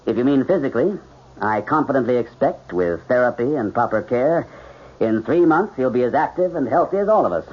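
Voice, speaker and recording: An actor playing a principled, lovelorn surgeon, measured and formal, in 1970s radio drama style. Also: male voice, medium pitch, lively intonation, breathy edge. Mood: courteous, confident. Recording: dull, band-limited radio drama recording.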